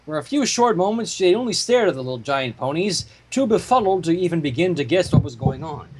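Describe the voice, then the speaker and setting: Animated high-voiced man with a husky edge. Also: fast talker, rough recording. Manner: animated, stumbles and breaks off to comment. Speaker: fan fiction reader and narrator. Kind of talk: story reading with joking asides.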